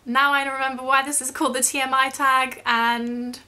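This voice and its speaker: A woman's voice: high voice, flat delivery, normal speed, pushed and bright. A young British woman chatting casually in a question-and-answer vlog, rambling a little and self-deprecating. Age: young adult. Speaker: YouTube vlogger.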